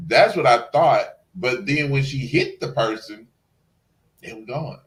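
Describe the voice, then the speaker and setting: A man's voice: mid-range voice, lively intonation, unhurried, poor audio. A man co-hosting a casual review chat, talking in an emphatic, sassy, salty-tongued way. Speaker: review show co-host.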